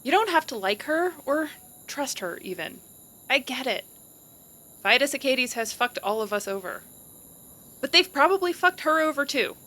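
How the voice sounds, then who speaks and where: A woman's voice: sits high, big pitch swings, moderate pace, long pauses, bright, forceful tone, heavily acted. A woman playing a scrappy, determined and protective young leader in an audio drama, casual, blunt and conspiratorial. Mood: earnest, firm.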